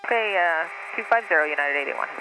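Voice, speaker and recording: A man working as an airline pilot, reading back clearances over an aircraft radio. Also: a little high, lively intonation, quick pace. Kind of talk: radio readbacks. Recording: noisy cockpit radio transmission.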